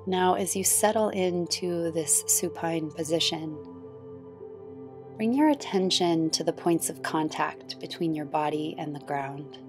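A woman guiding a yoga nidra meditation in a soothing, gentle, unhurried manner. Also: low-pitched. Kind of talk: guided meditation. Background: background music.

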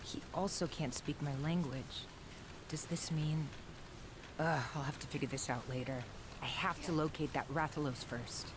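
A woman's voice: deep voice, fairly even intonation, normal speed, noisy background, close to an everyday voice. A woman playing a determined, frustrated hunter in a video game, terse and thinking aloud to herself. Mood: tense, focused. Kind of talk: video game cutscene acting. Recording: loud music behind the voice.

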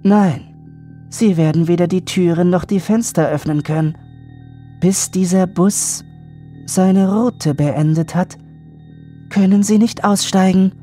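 A man voicing an eerie, expressionless supernatural boy who speaks slowly in a calm, grave, flat way. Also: sits high, pitched above the performer's own voice. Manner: flat, emotionless, slow delivery. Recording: background music under voice.

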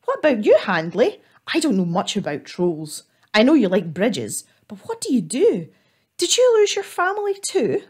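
A woman voicing a small, timid and eager male squirrel in a storybook, speaking in a high, breathless and polite way. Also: huge pitch swings, moderate pace, darkish tone, slightly rough, full character voice. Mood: curious.

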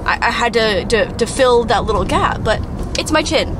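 A woman's voice: on the high side, lively intonation, normal speed, background noise. Giggly woman vlogger with numb lips. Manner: chatty, giggly, self-mocking. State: lips numb and swollen from fresh filler. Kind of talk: casual vlog chat. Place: car interior, then home kitchen. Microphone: handheld camera at arm's length.

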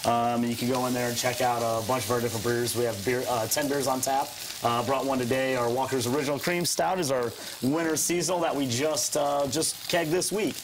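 A man's voice: mid-range voice, steady tone, fairly quick, hardly any pauses. An American man in his thirties, a restaurant owner casually explaining his food as a guest cook. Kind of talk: casual explaining while cooking. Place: indoor TV studio kitchen. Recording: faint pan sizzle and stirring noise.

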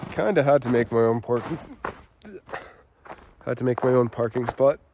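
A man's voice: normal speed, a little mellow. A man, talking casually to the camera in a laid-back travel vlog and sometimes trailing off. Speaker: vlogger. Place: outdoors in snowy woods, sometimes in a vehicle. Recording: outdoor background noise, vehicle rumble.

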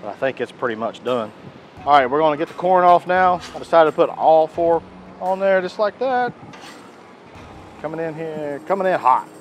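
A man's voice: fairly high pitch, lively intonation, moderate pace, a little hiss or hum. A man, chatting casually while cooking outdoors on a beach for his cooking channel, on a clip-on lapel mic. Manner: folksy, chatty, says "y'all" often.

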